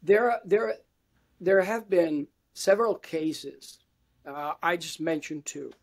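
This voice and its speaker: A man's voice: a little high, takes it slowly. A man in his fifties from Italy with an Italian accent, answering conversationally as an academic interview guest on a video call. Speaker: interview guest, university lecturer. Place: indoor room with bookshelves, video call.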